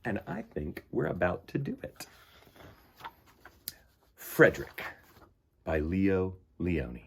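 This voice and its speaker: A man hosting a children's storytime, speaking and reading aloud with enthusiastic, theatrical emphasis and a husky voice. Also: deep voice, very animated, slow pace, a little hiss or hum. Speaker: host of a children's storytime show. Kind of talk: animated read-aloud and chat for children.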